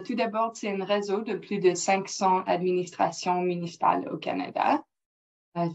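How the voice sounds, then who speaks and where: Gravelly woman presenting in a second language. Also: fairly low pitch, a little mellow. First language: English. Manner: careful, halting, with non-native phrasing. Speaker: project officer presenting. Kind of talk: slide presentation. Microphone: computer mic on a video call. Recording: dull lo-fi call audio.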